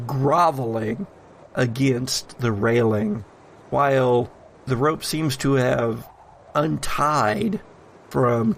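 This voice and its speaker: Slow, gravelly male game master. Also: mid-range voice, slight background noise. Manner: deliberate, pausing between short phrases. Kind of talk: improvised narration of a tabletop horror game.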